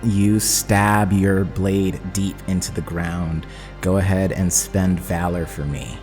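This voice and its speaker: A man's voice: sits low, fairly even intonation, slow, in a large hall, over music. A man running a tabletop roleplaying game as game master, narrating in a dramatic, descriptive way.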